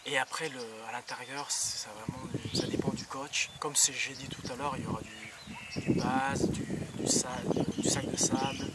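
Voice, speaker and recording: A young man explains things in a casual vlog style. His mid-pitched voice moves haltingly as he searches for words. He sounds some way from the microphone, with loud noise around him. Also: fairly even intonation, distant mic. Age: young adult. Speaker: vlogger.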